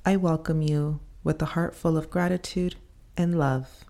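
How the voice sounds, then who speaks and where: A woman leading a guided meditation in a soothing, unhurried manner, pausing between short phrases. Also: deep voice, steady tone, vocal fry, dark tone.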